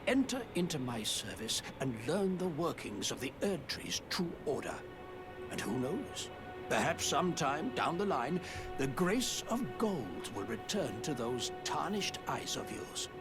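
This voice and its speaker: Pompous nobleman, swooping pitch. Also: very animated, measured pace, music in the background. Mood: grand, proud. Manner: pompous, florid, theatrical. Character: pompous, self-important nobleman claiming a lordship. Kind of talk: video game character acting.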